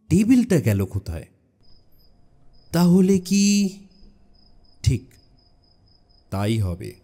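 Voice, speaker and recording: A man narrating a suspense story dramatically, with expressive phrasing and deliberate pauses. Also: medium pitch. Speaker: audiobook narrator.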